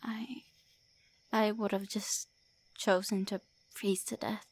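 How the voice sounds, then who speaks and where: A woman voicing a lonely, prickly female vampire who is centuries old, in an ASMR roleplay, often speaking softly or in whispers. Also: mid-range voice, level tone, slow pace. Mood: wistful. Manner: tsundere, sharp then wistful.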